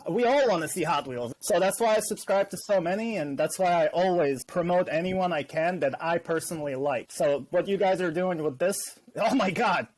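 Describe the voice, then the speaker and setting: Lively man chatting as a podcast guest. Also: high-pitched, normal speed, few pauses, low-quality recording. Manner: animated, acts out quoted voices. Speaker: guest YouTuber. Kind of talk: casual podcast chat.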